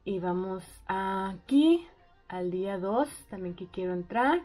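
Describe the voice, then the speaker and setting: A young woman talks through her day in a casual, chatty vlog, thinking aloud as she goes. Her excitement runs high and her voice sits at an ordinary middle pitch. She sounds eager and a little keyed up. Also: takes it slowly. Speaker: vlogger.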